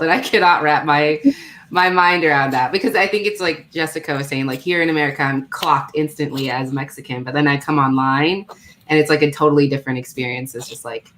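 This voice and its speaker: Woman panelist, low pitch; blunt and emphatic; faint noise behind her. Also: a bit bright. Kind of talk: casual panel chat.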